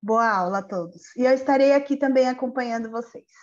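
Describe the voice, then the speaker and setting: A woman in her thirties hosting and introducing an online class, speaking politely and pausing to find her words, over a video call from a small room at home. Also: a little high, dull audio. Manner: warm, polite and searching for words. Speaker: event host. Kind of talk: welcoming introduction. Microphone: webcam on video call.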